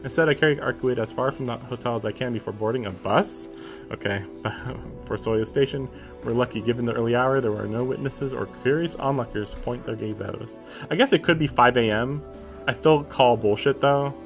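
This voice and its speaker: A man's voice: medium pitch, brisk. Casual male streamer reading aloud over music. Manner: informal, questioning asides between read passages. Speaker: live streamer reading a visual novel aloud. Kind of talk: reading narration aloud with casual, puzzled running commentary.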